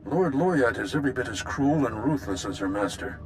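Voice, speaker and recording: An actor playing a grave, measured male Jedi master in a video game. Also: mid-range voice, expressive, slight background noise, close to an everyday voice.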